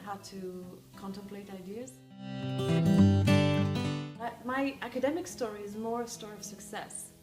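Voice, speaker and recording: A woman from France, a life coach, speaking reflectively with frequent hesitations. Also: medium pitch. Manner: reflective, hesitant with many ums. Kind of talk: interview talk. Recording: echoey room with music behind.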